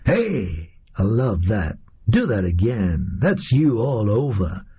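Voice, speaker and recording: A man's voice: on the low side, sing-song, slow pace, warm tone, slightly rough, dull audio, exaggerated voice. A man voicing a brash, gleeful schemer in an audiobook story, breezy and slangy in manner. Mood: cocky.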